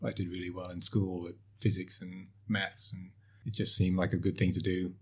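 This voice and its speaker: Husky low-voiced man, hesitant interview guest. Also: flat delivery, normal speed. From Asia (Hong Kong, Malaysia, Singapore). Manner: hesitant, many fillers and restarts. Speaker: podcast guest, portfolio manager. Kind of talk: casual interview answers.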